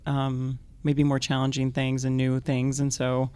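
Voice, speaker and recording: A woman's voice: extremely low pitch, completely flat delivery, a little slow, darkish tone, clear, resonant tone. Laughing, chatty woman singer. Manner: enthusiastic, laughs often, rambling asides. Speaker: opera and concert singer, guest. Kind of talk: casual interview chat.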